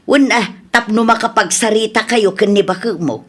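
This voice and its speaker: A woman playing a meddling, protective mother in a radio drama, with an animated, scolding manner. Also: mid-range voice, moderate pace, close to an everyday voice. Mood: resigned, subdued. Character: meddling, hot-headed mother, protective of her grown son. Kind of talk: Ilocano radio drama acting.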